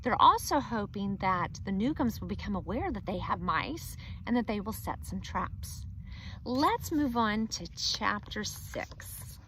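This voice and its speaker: Expressive teacher reading aloud to kids; woman, lively pitch, questioning. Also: unhurried, a little hiss or hum, poor audio. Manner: expressive, asks students questions. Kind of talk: read-aloud lesson for children.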